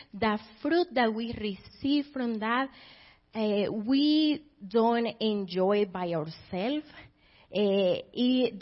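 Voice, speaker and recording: Colombian lady missionary, spanish accent, talks slow, kinda higher voice, muffled lo-fi church audio. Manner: warm, laughing, slightly halting with fillers. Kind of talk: church testimony.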